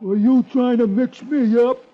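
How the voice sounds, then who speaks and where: A man's voice: very high voice, steady tone, a little slow. An actor playing a huge, dim-witted, stubborn brute of a man in a 1950s radio drama, childlike and suspicious. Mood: puzzled, wary. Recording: muffled, hissy 1950s radio recording.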